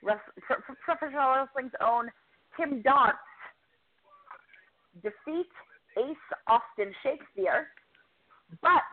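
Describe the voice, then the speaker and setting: A woman reporter giving a chatty, enthusiastic recap of a wrestling show, quick to poke fun at herself. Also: high-pitched. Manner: chatty, enthusiastic, self-deprecating. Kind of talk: casual podcast recap. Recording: tinny phone line.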